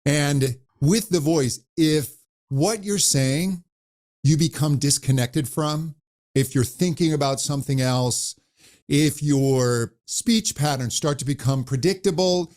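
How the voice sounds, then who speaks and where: A man who coaches voices, talking animatedly in an interview and demonstrating high and low pitch as he explains. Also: slow pace, darkish tone. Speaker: voice coach guest. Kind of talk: conversational interview.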